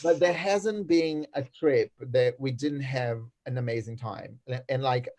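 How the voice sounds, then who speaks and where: A middle-aged Brazilian man, a native Portuguese speaker with a Brazilian Portuguese accent, chatting as a guest on a video call, animated, laughing often and restarting his sentences. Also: medium pitch, measured pace. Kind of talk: casual video call chat.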